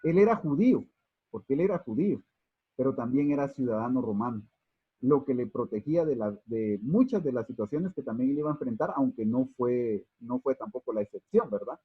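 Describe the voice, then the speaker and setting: A man's voice: mid-range voice, lively intonation, brisk, slightly rough, no echo, lo-fi sound. A man from Guatemala, teaching an unscripted Bible study class with many fillers and restarts and calling his listeners brothers.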